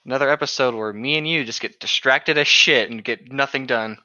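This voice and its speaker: A man's voice: medium pitch, fairly quick, pushed and bright, dry recording. A man co-hosting a casual podcast, wry and blunt, reading and bantering on a somewhat lo-fi microphone. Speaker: podcast co-host. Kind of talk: casual banter and reading a novel aloud with asides.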